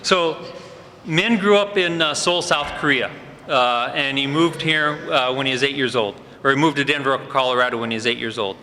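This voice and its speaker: A man's voice: mid-range voice, normal speed. Man speaking up in an echoey hall. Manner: warm off-the-cuff remarks with frequent fillers. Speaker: fire department EMS supervisor presenting cadets. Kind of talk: ceremony speech introducing graduates. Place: large indoor hall at a ceremony. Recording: echoey hall with faint ambient noise.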